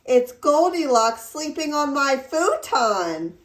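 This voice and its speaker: A woman's voice: sits high, big pitch swings, slow pace, pitched above the performer's own voice, heavily acted. A woman voicing a small, upset storybook child in a whiny, plaintive manner. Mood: surprised. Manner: wailing, plaintive. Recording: roomy echo.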